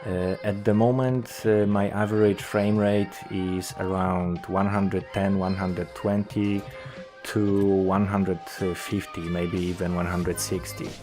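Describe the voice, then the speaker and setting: Young Polish-accented gamer, deep and unhurried, hesitant and full of fillers, music underneath. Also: male voice, little pitch movement. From Poland. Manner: hesitant, many filler sounds. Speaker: gaming YouTuber. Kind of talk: game update commentary.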